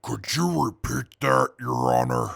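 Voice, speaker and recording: A man voicing a huge male supermutant who speaks slowly and formally. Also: medium pitch, huge pitch swings, vocal fry, a bit bright, dry recording, studio quality, heavily acted. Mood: sheepish. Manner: slow, formal, apologetic.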